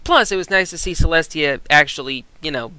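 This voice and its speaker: A man with a fairly high, forceful voice delivers conversational review commentary. He is animated and emphatic, and his voice is crisp, recorded dry and close. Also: brisk, dry recording. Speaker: co-host reviewer.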